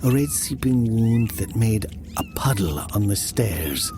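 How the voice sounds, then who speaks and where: A man narrating a first-person horror story dramatically, in a hushed, deliberate, often whispery manner. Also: medium pitch, sing-song, gravelly, background noise.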